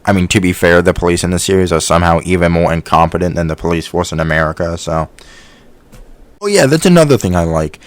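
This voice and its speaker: A man's voice: sits low, expressive, fast talker, no room sound. A man giving an opinionated video review in an informal, sweary way.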